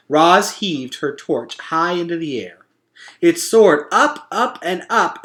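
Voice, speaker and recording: Bright-voiced man reading a storybook aloud. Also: on the high side. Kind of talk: expressive storybook narration.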